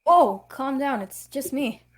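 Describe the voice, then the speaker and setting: A woman voicing an excitable, friendly teenage schoolboy in an amateur table read. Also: high voice, takes it slowly. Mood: excited, friendly.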